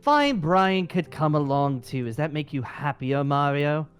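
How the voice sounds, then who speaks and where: A man's voice: on the high side, unhurried, soft background music, natural voice. A man playing a haughty, theatrical wizard with formal flourishes and sarcasm, in improvised fantasy roleplay. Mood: indignant, sarcastic.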